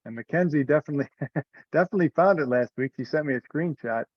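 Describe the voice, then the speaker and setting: A man's voice: fairly high pitch, fast, dry recording. A man talking casually in an online meeting, explaining things in a hesitant way. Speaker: meeting participant leading discussion. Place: online conference call. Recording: muffled, band-limited conference call audio.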